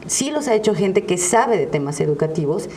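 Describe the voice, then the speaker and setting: A woman's voice: lowish voice, sing-song, moderate pace, warm tone. A woman giving analytical commentary as a guest in a panel discussion. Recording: faint background noise with room ambience.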